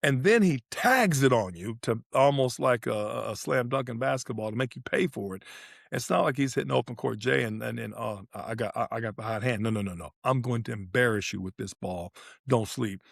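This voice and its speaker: Male voice, casual sports analysis, emphatic, repetitive phrasing, slightly low pitch, dry close recording. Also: expressive, brisk, gravelly, no echo. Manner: emphatic, repeats phrases for effect. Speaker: podcast co-host analysing tennis. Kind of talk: casual sports talk, breaking down play.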